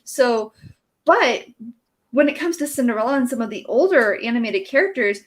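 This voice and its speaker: A woman's voice: fairly high pitch, animated, normal speed. A young woman hosting a casual live-stream chat, talking freely with lots of fillers and frequent laughter. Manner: chatty, laughs often, many fillers. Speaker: podcast host.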